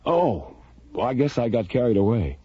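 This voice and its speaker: A man's voice: medium pitch, sing-song, moderate pace, slightly rough, dry recording. An actor playing a polite but greedy, wheedling man in a comic radio advertisement. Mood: sheepish, wheedling. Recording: dry, dull radio recording.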